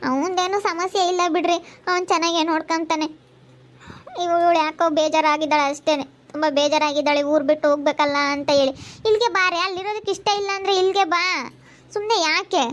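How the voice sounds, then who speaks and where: Woman playing concerned elder sister, piercingly high voice. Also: steady tone, fast. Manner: consoling, insistent questioning.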